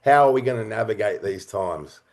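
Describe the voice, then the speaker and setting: A man speaking as an interview guest, a writer and creator talking reflectively and expressively in halting, conversational phrases. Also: fairly low pitch, darkish tone.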